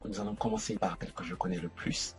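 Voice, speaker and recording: A man's voice: low-pitched, fairly even intonation, fast talker, soft background music. A man hosting an educational video, explaining informally to the viewer in a hesitant way, often repeating and correcting himself. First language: French. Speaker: host of an educational video series.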